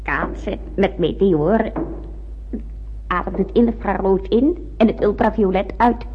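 Actress as a slow, wry spacewoman, muffled sound. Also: medium pitch, close to an everyday voice. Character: wry female spaceship crew member. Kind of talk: radio drama acting. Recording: muffled, faintly noisy old radio recording.

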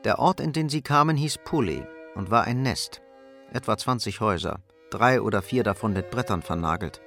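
A male narrator of a radio crime drama with a fairly low voice and lively movement in pitch. He reads scripted prose over faint music. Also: moderate pace.

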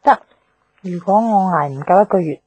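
Mellow, plucky young Hong Kong woman. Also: lowish voice, normal speed, husky, highs cut off. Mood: earnest, hopeful. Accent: Hong Kong Cantonese. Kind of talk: naturalistic radio drama dialogue.

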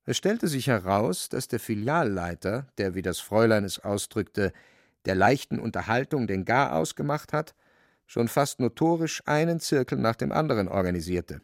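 Creaky-voiced man narrating a radio play. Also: mid-range voice, animated, normal speed, dry recording, clean studio sound. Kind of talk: scripted literary narration.

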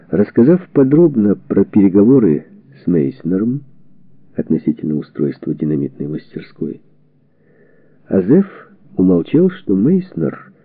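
A man reading a historical book as a scripted narration, in measured, deliberate phrases. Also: low-pitched, no echo. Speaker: audiobook narrator. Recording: narrow phone-like band, radio-like sound.

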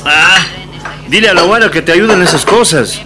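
A man's voice: high voice, normal speed, close to an everyday voice. A man playing a demanding, dismissive machista husband in a radio drama, with a Bolivian accent and a complaining manner. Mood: irritated, dismissive. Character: grumbling, demanding machista working-class husband. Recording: music and clinking dishes behind.

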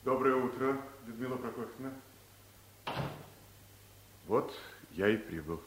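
An actor playing a smooth, boastful man, a newly arrived deputy director who likes to show off, in a 1970s radio comedy. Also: mid-range voice, expressive, measured pace, background noise, natural voice. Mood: suave. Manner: suave, showing off.

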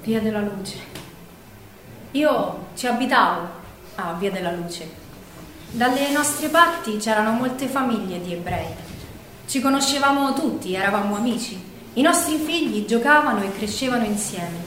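A woman's voice: on the high side, expressive, normal speed, a bit bright. An actress playing a Roman mother who recounts wartime memories in an earnest, dramatic stage monologue. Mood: grave, recollecting. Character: Roman mother recalling saving a neighbour's child in wartime. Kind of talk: theatrical first-person monologue, storytelling. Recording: echoey room, distant mic, noisy.

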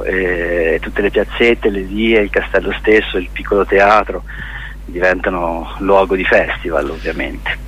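A man's voice: on the low side, a bit bright, lo-fi sound. A man giving a casual interview as a guest, circling back and repeating his phrases as he explains. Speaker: festival organizer, interview guest.

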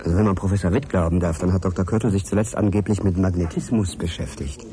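An actor playing an inquisitive, courteous middle-aged journalist in a naturalistic radio drama, probing and earnest in conversation. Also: male voice, sits low, fairly even intonation, quick pace, mellow, lightly acted. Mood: curious. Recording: crowd chatter behind, café scene. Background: café crowd chatter.

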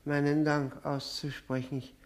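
An actor playing a drunken, fawning man in a 1960s radio drama, halting and obsequious in his speech. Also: a little high, flat delivery, close to an everyday voice. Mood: meek, stammering. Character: drunken, fawning, self-abasing man, slyly evasive.

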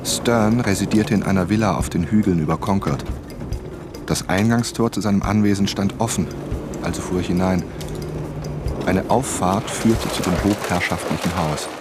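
Radio play lead for a man: young novelist turned investigator, lowish voice, curious and polite, music underneath. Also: normal speed, close to an everyday voice. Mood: narrating, matter-of-fact. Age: young adult. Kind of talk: radio drama narration and dialogue. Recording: music behind the voice.

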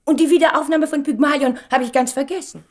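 A woman's voice: high-pitched, fairly even intonation, quick pace, lightly acted. A woman playing a vain, wounded young stage star in a German radio play, speaking in a dramatic, self-important and indignant way.

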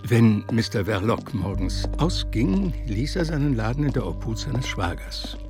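A man's voice: medium pitch, very animated, measured pace, music in the background. A man telling a literary story as a scripted radio drama narrator.

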